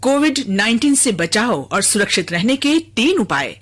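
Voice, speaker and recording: A woman's voice: fairly high pitch, sing-song, normal speed. A woman reading a scripted public-service health message as an announcer. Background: loud music.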